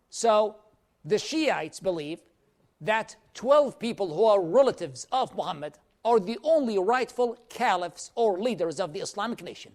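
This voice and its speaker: A man from Iraq with a high voice teaches a church class. He explains step by step and answers questions from the room directly. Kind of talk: lecture with audience questions.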